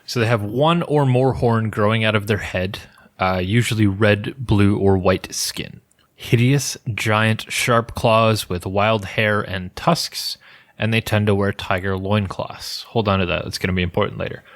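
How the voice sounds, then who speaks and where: A man's voice: fairly low pitch, steady tone, unhurried. A man co-hosting a podcast, presenting folklore research in a loose, jokey way with restarts. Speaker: podcast co-host. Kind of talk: casual podcast chat, presenting researched lore.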